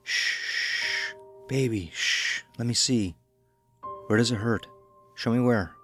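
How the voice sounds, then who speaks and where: A man voicing a gentle, reassuring father with a soft, tender manner in an audio horror story. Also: medium pitch, takes it slowly, faint music behind, pitched above the performer's own voice. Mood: soothing, worried. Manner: tender, soothing. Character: gentle, worried, reassuring father of two young girls.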